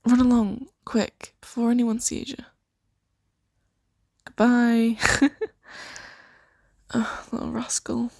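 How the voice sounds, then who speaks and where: A woman improvising an ASMR roleplay as a kind, shy, flustered boss of a secret sanctuary, speaking softly and hesitantly with giggles. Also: on the high side, little pitch movement, slow, vocal fry, long pauses, no room sound, clean studio sound, exaggerated voice. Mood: gentle, protective. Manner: hesitant, giggly, soft-spoken.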